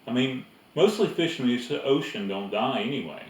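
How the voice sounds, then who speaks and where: A man's voice: medium pitch, lively intonation, normal speed, echoey room, far from the mic, lightly acted, low-quality recording. A man voicing a knowing, self-assured farm girl with a confident, matter-of-fact manner in a storybook.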